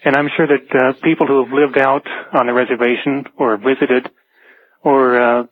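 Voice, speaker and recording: Male caller, mid-pitched, matter-of-fact but tentative storyteller, dry narrowband telephone audio. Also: fairly even intonation, normal speed, no room sound. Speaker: radio show caller. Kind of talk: unscripted personal anecdote. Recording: narrow, dry phone line.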